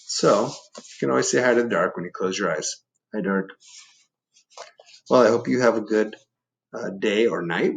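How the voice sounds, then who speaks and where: A man reading a children's story aloud, expressive, gently prompting young listeners with questions and asides. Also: medium pitch, gravelly, poor audio. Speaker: picture book reader.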